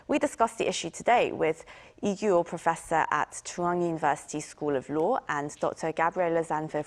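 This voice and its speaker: A woman presenting a broadcast news discussion, reading introductions and putting questions to guests. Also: fairly low pitch. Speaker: news programme host.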